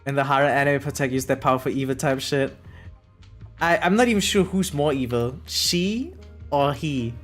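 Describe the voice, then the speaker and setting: A video game streamer chatting casually and informally to viewers in an animated, opinionated way. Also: mid-range voice, moderate pace, soft background music. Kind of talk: casual livestream chat.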